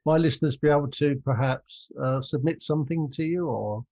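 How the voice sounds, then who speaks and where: A man's voice: fairly high pitch, brisk, darkish tone. A man hosting a conversational podcast interview, putting questions to his guest.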